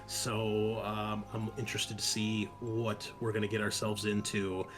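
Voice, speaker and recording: A man's voice: on the low side, completely flat delivery, unhurried, a bit bright, faint music behind. A man hosting a show, giving a chatty, informal introduction in a casual style. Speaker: podcast host.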